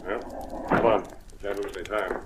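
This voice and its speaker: An actor playing a stern, exasperated chief of detectives, brusque and sarcastic, in 1940s radio drama style. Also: male voice, on the low side, flat delivery, moderate pace, close to an everyday voice. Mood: brisk. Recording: noisy old radio recording.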